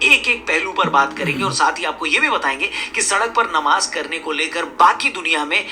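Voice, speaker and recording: A man's voice: a little high, animated, fairly quick, sharp tone, a little hiss or hum. A man presenting a scripted news explainer in an emphatic, argumentative way. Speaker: video presenter.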